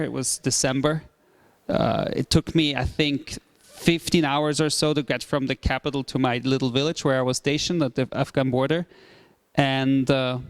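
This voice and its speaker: A man from Switzerland, a startup CEO in his thirties, giving a relaxed presentation talk with many fillers and repeated phrases. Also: mid-range voice, clear, resonant tone. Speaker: startup CEO, guest speaker.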